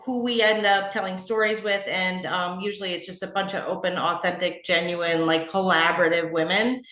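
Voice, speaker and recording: A woman's voice: fairly low pitch, fairly even intonation, normal speed, a bit bright. A woman hosting a podcast interview in a conversational, effusive and affirming way. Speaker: podcast host. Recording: roomy, echoing sound.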